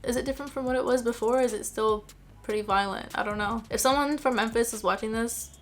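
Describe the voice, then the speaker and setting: A woman's voice: fairly high pitch, quick pace, a little hiss or hum. A woman from Iraq giving an enthusiastic, descriptive vlog talk, sometimes wondering aloud to her viewers. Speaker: music video creator. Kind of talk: casual scripted vlog talk.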